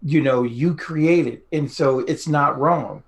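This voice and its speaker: A man's voice: fairly high pitch, slow, vocal fry. A man chatting casually as a podcast interviewer in a rambling, conversational way. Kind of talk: casual chat.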